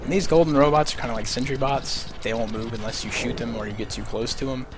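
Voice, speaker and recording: A man's voice: mid-range voice, fast. A young man casually explaining game tactics as he plays a speedrun live. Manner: relaxed, explanatory, chatty about game tactics. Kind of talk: casual live commentary while playing. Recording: stream mic with game music behind. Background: game music.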